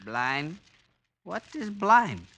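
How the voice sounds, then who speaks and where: An actor playing a stern blind village overseer in an old radio drama, commanding and formal with archaic phrasing. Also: male voice, sits high, big pitch swings, extremely slow, bright, forceful tone, exaggerated voice. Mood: alarmed, commanding. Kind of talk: 1940s radio drama acting.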